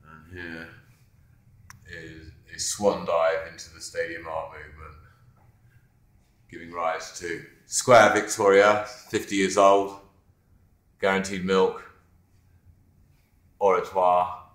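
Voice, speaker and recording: A man with a deep, fairly monotone voice gives a relaxed, offhand tour of his wall mural. He points things out casually as he walks with the camera. The office lunchroom around him rings with a noticeable echo. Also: takes it slowly, pauses often. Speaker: muralist giving a walkthrough. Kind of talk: casual narrated video tour.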